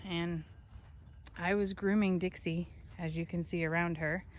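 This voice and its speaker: A woman's voice: fairly low pitch, steady tone, measured pace. A woman filming on a phone in an open pasture, chatting to her farm animals and scolding them fondly in a casual way. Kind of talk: casual off-camera narration. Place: outdoor pasture.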